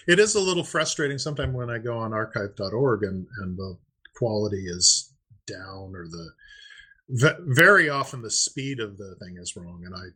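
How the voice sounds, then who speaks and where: A man's voice: fairly low pitch, animated, moderate pace, bright, forceful tone, breathy edge. A man co-hosting a podcast, speaking in a casual, conversational style. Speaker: podcast co-host. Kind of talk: casual chat.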